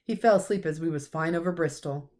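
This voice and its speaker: Woman playing storybook giant man, rough-spoken, loyal, soft-hearted, raised pitch. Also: a little fast, close to an everyday voice. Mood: respectful, eager.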